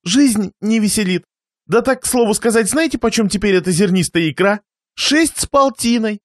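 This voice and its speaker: A man acts out a miserly host from a satirical storybook. The voice sits high and moves around a lot, plaintive and fawning. Every courtesy is forced, with suffering and resentment underneath. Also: animated, normal speed, no echo, studio quality. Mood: gloomy, pained. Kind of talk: dramatised reading of a satirical short story.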